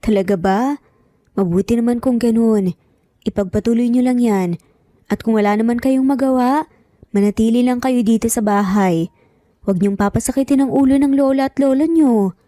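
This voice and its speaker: A woman voicing a caring, gently firm mother in story dialogue. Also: on the high side, moderate pace, pauses often, dry recording, natural voice. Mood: pleased, gently firm.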